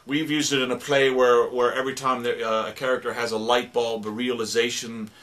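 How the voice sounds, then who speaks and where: A man giving an informal, halting and rambling demonstration of sound-effect instruments, as a radio drama producer. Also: mid-range voice, flat delivery, fairly bright tone. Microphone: stereo microphone. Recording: noisy recording with stereo microphone.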